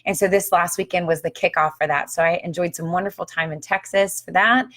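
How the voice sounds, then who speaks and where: Casting note: woman host, slightly hoarse, chatty, lower pitch, upbeat live-stream talk. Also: fairly even intonation, fairly quick, fairly bright tone. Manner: chatty and upbeat, with frequent ums. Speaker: author and marriage counselor hosting a live video. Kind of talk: casual live-stream chat. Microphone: phone or webcam on a live stream.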